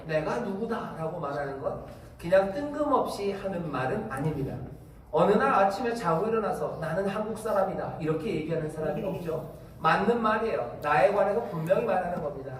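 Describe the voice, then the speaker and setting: A man with a Korean Incheon accent preaches in a church, talking to his congregation in a casual, chatty way. His voice sits a bit high. It comes from a distance in a reverberant sanctuary, with faint background noise. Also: expressive, fairly quick. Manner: conversational preaching with fillers and asides. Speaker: pastor. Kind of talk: sermon. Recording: echoey hall, distant mic, faint noise.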